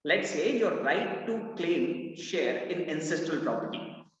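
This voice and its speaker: A man with an Indian accent teaching a live online law class, with a loud voice, mixing Hindi phrases in. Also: fairly high pitch, measured pace. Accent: Indian English. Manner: lively, mixes in Hindi phrases, reads out student answers. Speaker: law entrance mentor. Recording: echoey, roomy, distant, dull live-class audio.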